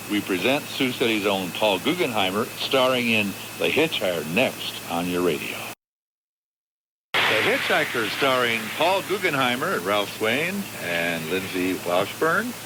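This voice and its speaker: A man's voice: medium pitch, very animated, normal speed. An older man hosting a live radio show, introducing programs and chatting with guests. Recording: very noisy live radio broadcast.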